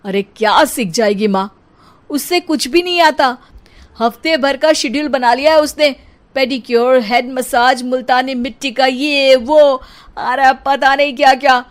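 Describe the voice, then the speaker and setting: A woman's voice: sits high, a little slow. A woman voicing a tired young husband who whines and complains, in a comic cartoon story. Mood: exasperated.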